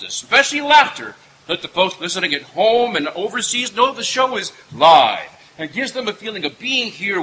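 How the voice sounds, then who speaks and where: A man's voice: sits high, pushed and bright, rough recording. A middle-aged man hosting, addressing an audience in old-time radio announcer patter. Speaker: stage host warming up audience. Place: outdoor stage in woods. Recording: live outdoor stage, faint noise.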